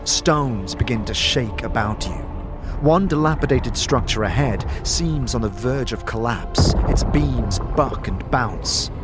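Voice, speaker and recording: A man's voice: mid-range voice, expressive, takes it slowly, loud music behind the voice. A man narrating a fantasy adventure as a storyteller, in scripted dramatic narration. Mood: suspenseful.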